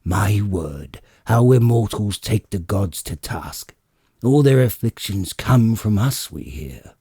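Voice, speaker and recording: Man playing grand old father god, deep, dark, ponderous, exasperated, lordly, epic audiobook. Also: animated, takes it slowly, husky, dry recording, clean studio sound, full character voice. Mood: indignant, weary.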